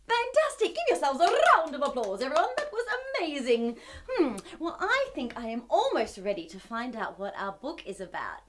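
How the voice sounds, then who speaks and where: A woman with a high, very lively voice hosts a storytime for children. She speaks with exaggerated wonder, putting questions to the listeners and swooping up and down as she goes. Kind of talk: children's read-aloud and singalong.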